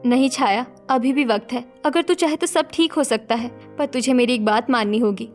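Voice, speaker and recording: A woman's voice: fairly high pitch, normal speed, faint music behind, natural voice. A woman voicing a sensible, protective young married elder sister in a cartoon story, firm and admonishing. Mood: firm, advising. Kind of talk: Hindi cartoon story dialogue acting.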